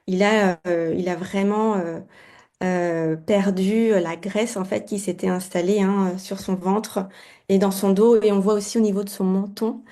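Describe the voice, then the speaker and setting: A woman's voice: mid-range voice, mellow. A woman in her forties giving a personal testimony on a video call, hesitant and full of fillers. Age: 40s. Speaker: guest client giving testimony. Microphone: video call mic.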